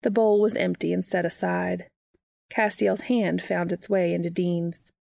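A woman narrates fan fiction in a low voice full of gravelly fry. She hosts and reads from a script, and the recording is very dry. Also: steady tone, measured pace, a little mellow, dry recording. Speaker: podfic reader and podcast host.

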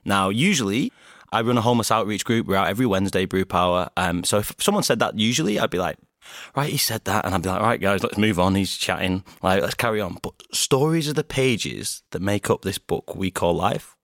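A man from Bolton with a Bolton accent, a podcast guest telling stories in animated casual chat and acting out his own quotes. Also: on the low side, fairly quick, no echo, clean studio sound. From Bolton, England.

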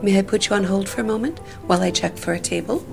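An actress playing a courteous hotel restaurant receptionist in an audio drama, with formal customer-service politeness. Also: female voice, medium pitch, fast talker, creaky, music in the background.

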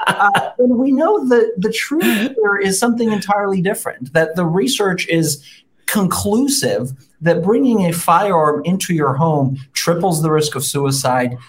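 Measured data-citing interview guest. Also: medium pitch, animated. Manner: measured, cites data, frequent "right?" tags. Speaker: guest policy expert. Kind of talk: political interview.

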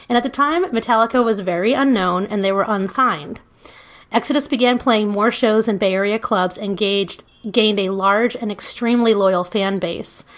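Brief: middle-aged woman, casual podcast co-host, chatty and loose, filler-heavy delivery. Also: mid-range voice. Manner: chatty, laughing asides, frequent ums. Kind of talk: casual podcast chat and band history.

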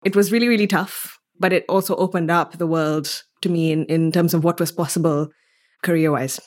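A woman's voice: lowish voice, steady tone, fairly quick. A young woman from Kenya, telling personal stories as a podcast guest in a reflective, articulate way. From Mombasa, Kenya. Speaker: podcast guest, theatre artist. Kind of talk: conversational interview, personal storytelling.

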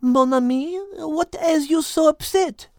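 A woman voicing a rational, fussy female animal in an audiobook story, pedantic, measured and precise. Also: sits high, no room sound, studio quality. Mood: calm, insistent. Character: rational, fussy French-speckled female animal, overthinking know-it-all. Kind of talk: animal story character acting.

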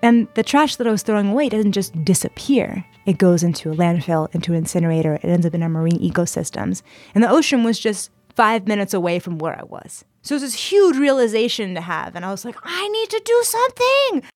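A woman's voice: medium pitch, expressive, a little fast, darkish tone, dry recording, faint music behind. A woman in her twenties from San Diego, telling her story in a chatty interview style full of "like" and "uh". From San Diego, California, US. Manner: chatty, frequent fillers like "like" and "uh". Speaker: guest.

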